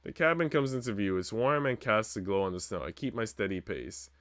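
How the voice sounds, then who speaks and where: A man's voice: lowish voice, expressive, a little fast, a bit bright, no room sound. A man streaming a visual novel, reading the story narration expressively with casual asides.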